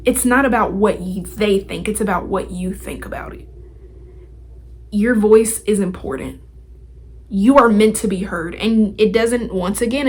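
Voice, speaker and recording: Woman, podcast host, husky voice, mid pitch, informal and slangy, emphatic, casual motivational talk.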